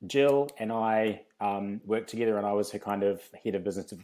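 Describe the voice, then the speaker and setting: A man's voice: fairly low pitch, fairly quick, warm tone. A man with a New Zealand accent, telling his story casually and chattily as an interview guest. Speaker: podcast guest, fitness company founder.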